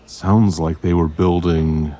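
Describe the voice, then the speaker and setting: An actor playing a grim, protective male investigator who talks tersely and deliberately in an audio drama. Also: low voice, fairly even intonation, mellow. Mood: grim, probing.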